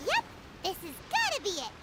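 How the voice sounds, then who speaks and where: Tiny chirpy game sidekick, super high and swoopy, loud noise behind. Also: female voice, huge pitch swings, normal speed, exaggerated voice. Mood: excited. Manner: bouncy, exclaiming, childlike. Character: tiny floating female companion, chirpy and excitable.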